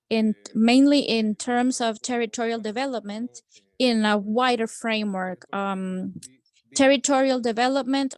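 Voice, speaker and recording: A woman giving a simultaneous interpretation into English over a video call, halting and pausing often with fillers. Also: fairly high pitch, no room sound. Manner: halting, with frequent um fillers and restarts.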